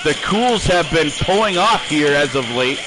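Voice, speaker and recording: A man calling wrestling play-by-play, excitable and raising his voice over the action. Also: on the high side, moderate pace, heavy background noise. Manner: excitable, calls action with shouting bursts. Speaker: play-by-play commentator. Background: music.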